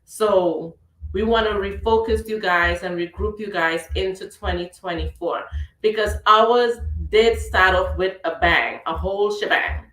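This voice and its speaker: A woman's voice: mid-range voice, unhurried, fairly bright tone, reverberant, rough recording. A woman from Trinidad with a Trinidadian accent, hosting a casual talk radio chat in a chatty, playful way. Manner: chatty, playful, laughs easily. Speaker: radio show host.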